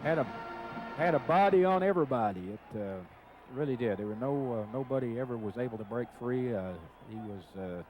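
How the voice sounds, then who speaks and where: An American man giving conversational football color commentary in a folksy, halting way. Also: mid-range voice, lively intonation, lo-fi sound, faint music behind. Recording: radio broadcast with stadium crowd behind. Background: stadium crowd.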